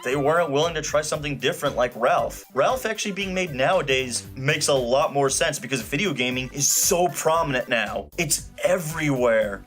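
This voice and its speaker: A man's voice: a little high, fairly bright tone. A man giving an opinionated, scripted video review in an emphatic, sarcastic, casually profane way. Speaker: film reviewer. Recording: music playing behind the voice. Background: background music.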